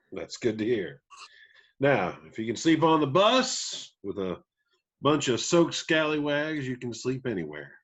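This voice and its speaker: A man's voice: medium pitch, very animated, measured pace, slightly rough. Guy doing folksy country bandleader, easygoing, takes his time talking. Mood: amused, welcoming.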